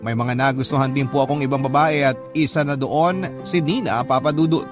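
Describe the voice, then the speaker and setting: A man's voice: mid-range voice, a little fast, over music, natural voice. A Filipino man playing a humble, lovelorn man who narrates his heartbreak in a polite, confessional, scripted style. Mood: wistful, confessional. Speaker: letter-sender narrator.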